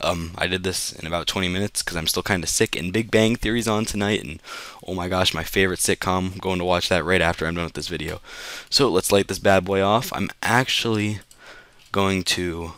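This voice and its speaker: A man's voice: deep voice, fairly even intonation, brisk, a bit bright, no echo. A young man with a slightly sick, off-sounding voice, hosting a casual, rambling game commentary as a channel host. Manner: rambling, easygoing, self-correcting.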